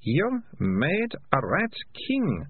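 A man voices a bright young girl in a storybook, bossy and sure of her facts. The voice is lifted and lively, with a husky edge and narrow, phone-like sound. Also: a little high, measured pace, no room sound, voice pushed up, heavily acted. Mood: knowing, brisk.

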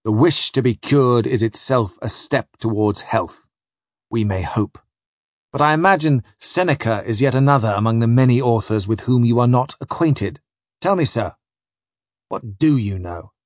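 A man's voice: mid-range voice, animated, moderate pace, dry recording, clean studio sound, close to an everyday voice. A man voicing a pompous, scholarly, bad-tempered ship's captain in an audiobook story, pedantic and declamatory. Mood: condescending, pedantic. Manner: pedantic, declamatory, quoting Latin.

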